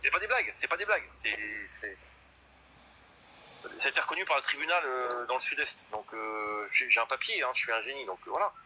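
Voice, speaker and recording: A man's voice: mid-range voice, expressive, brisk, pushed and bright, slight background noise, rough recording. A man in his fifties in a casual live chat, boastful and rambling, full of hesitations. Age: 50s. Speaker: guest in a live stream chat.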